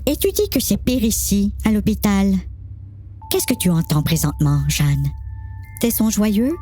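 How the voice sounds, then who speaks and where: A woman's voice: medium pitch, expressive, unhurried, dark tone, husky. A woman with a Quebec French accent playing a probing, composed hospital specialist in an amateur audio story.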